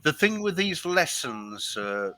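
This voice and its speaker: A man's voice: fairly high pitch, sing-song, takes it slowly, bright, forceful tone, no echo. A British man tutoring English over a video call, friendly and chatty, explaining things step by step. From United Kingdom. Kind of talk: online tutoring chat.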